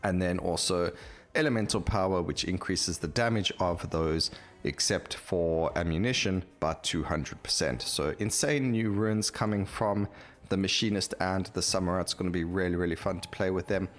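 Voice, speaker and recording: A man's voice: low-pitched, moderate pace. A man hosting a gameplay video, talking casually and rambling as he thinks aloud while he plays. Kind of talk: casual game commentary.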